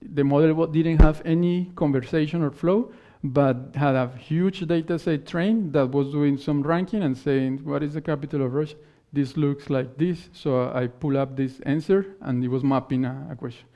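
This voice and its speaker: A man whose first language is not English, giving a technical conference talk and answering questions in halting phrases that he often restarts. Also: a little high, fairly even intonation. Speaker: conference speaker. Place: conference hall stage. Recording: dull lo-fi conference recording.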